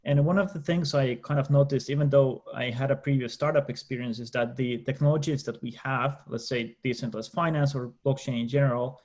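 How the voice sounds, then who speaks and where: A young man from Finland with a Finnish accent, speaking as a debate panelist on a video call, often pausing and restarting. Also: medium pitch, fairly even intonation, a little mellow. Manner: hesitant, many restarts and fillers.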